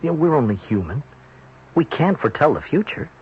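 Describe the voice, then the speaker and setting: A calm, probing man with a very raspy, mid-pitched voice. He prompts in a measured way on a muffled vintage radio drama recording. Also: heavily acted. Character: calm, probing male confidant questioning a troubled man. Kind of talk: old-time radio drama acting.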